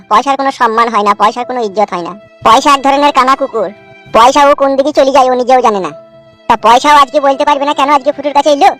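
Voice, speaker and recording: A man's voice: very high-pitched, bright, forceful tone. A man playing a put-upon, rueful village husband in a comic cartoon, plaintive and coaxing.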